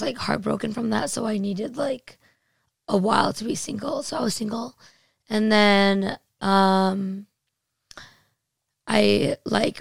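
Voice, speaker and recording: A young woman guest in a casual chat, her voice raspy, talking loosely with lots of "like". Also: medium pitch, no room sound. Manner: chatty, full of "like".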